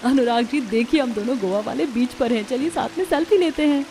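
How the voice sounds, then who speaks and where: A woman's voice: a little high, brisk, dark tone, a little hiss or hum, pitched above the performer's own voice, lightly acted. A woman voicing a jealous young newlywed wife in a cartoon family drama, sweetly deceitful and coaxing, whiny when refused. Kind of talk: animated family drama dialogue.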